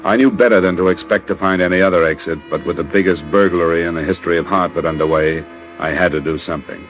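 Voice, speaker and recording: A man plays a hard-boiled American investigator with a deep, deadpan delivery. He talks in terse sentences, as in a vintage radio crime drama. The old recording is dull, with faint music behind. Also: fast talker, lightly acted. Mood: grim, tense. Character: hard-boiled male insurance investigator narrating his case. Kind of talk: 1940s-50s radio crime drama narration.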